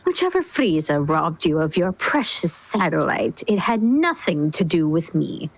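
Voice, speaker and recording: A woman voicing a haughty, cold male alien overlord in a measured, disdainful manner. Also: low-pitched, big pitch swings, creaky, no room sound, full character voice.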